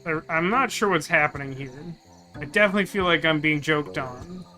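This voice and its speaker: A man's voice: on the high side, fairly quick, bright, forceful tone, faint music behind. A man doing casual let's play commentary, musing and thinking aloud as he works through puzzles. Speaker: gaming video host.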